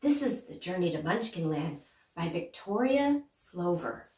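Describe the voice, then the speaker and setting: A young woman playing a gracious good witch, telling a children's story in an expressive, deliberate way. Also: fairly low pitch. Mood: gentle storytelling. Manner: animated, deliberate, addressing small children. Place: small plain room indoors. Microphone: far camera. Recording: roomy, distant microphone.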